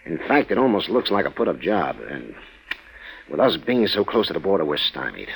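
Self-important chairman for a vintage radio drama; husky, brisk, formal, very animated intonation. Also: sits low, heavily acted, low-quality recording. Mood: indignant, emphatic. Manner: emphatic, formal. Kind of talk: 1940s radio drama acting.

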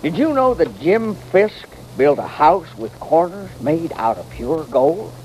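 Casting: gullible Old West barkeep, American, colloquial and lively, vintage radio western. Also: male voice, on the high side, measured pace. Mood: impressed, folksy. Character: folksy, gullible male frontier saloon keeper. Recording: noisy vintage radio recording.

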